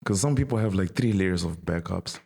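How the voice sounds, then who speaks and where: Deep-voiced young man chatting. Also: brisk, creaky, dark tone, husky. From Mozambique. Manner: informal and slangy. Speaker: podcast host. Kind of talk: casual chat, switching between languages.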